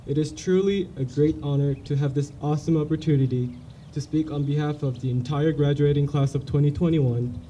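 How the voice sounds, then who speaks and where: A young man with a Korean accent gives an earnest, halting speech and often restarts his words. His voice is somewhat high and very dark. It is heard from a distance over a light noise floor. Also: steady tone. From South Korea. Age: young adult. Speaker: salutatorian cadet. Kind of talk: graduation speech.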